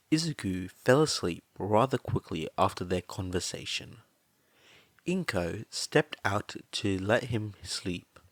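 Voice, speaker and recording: A man's voice: low voice, fairly bright tone, no echo. A man reading a story aloud as scripted podfic narration.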